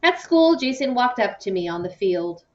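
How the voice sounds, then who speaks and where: A woman reading a children's novel aloud in an expressive, storytelling way, acting out the dialogue. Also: fairly high pitch, normal speed. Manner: animated, acts out dialogue. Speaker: audiobook-style reader. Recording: distant, muffled microphone.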